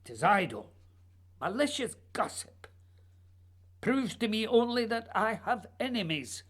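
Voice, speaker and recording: Husky, guarded Edwardian playwright acted by a woman. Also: medium pitch, big pitch swings. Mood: indignant. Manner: formal, deliberate, probing. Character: guarded, wounded male Edwardian playwright, secretive and proud. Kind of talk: radio play acting.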